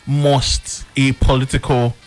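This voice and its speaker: A Nigerian man hosts a studio talk show, setting up each topic with a loose, conversational delivery and frequent pauses. His voice sits at a middle pitch. Faint music plays underneath. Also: little pitch movement, darkish tone. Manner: conversational, hesitant fillers while framing questions. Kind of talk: hosting a panel discussion.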